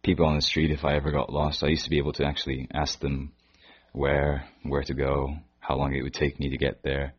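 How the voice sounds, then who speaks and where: A man from Canada with a Canadian accent that keeps traces of British, answering interview questions in a hesitant way with frequent pauses. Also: extremely low pitch, completely flat delivery, slightly rough. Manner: hesitant, pauses and restarts often. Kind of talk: casual interview chat. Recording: dull lo-fi recording.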